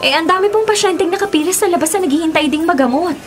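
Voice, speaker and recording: High-voiced officious Filipino nurse. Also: female voice, racing, soft background music. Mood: flustered. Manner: firm, polite, officious. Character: firm, flustered public hospital nurse, a woman. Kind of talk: Tagalog radio drama acting.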